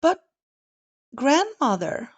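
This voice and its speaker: A sweet little girl from a fairy tale, acted out by a woman, pitched well up high. She speaks in an innocent, wide-eyed singsong, drawing her words out. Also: big pitch swings, extremely slow, exaggerated voice. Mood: innocent, puzzled.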